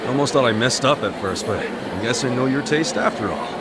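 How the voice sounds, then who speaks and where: Man playing an easygoing, animated game hero. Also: mid-range voice, fairly quick, over a noisy crowd, very noisy, natural voice. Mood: cheerful, teasing. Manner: casual, expressive, friendly banter. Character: easygoing, goofy, good-hearted adult man, street-brawler hero. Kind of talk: English-dubbed video game voice acting.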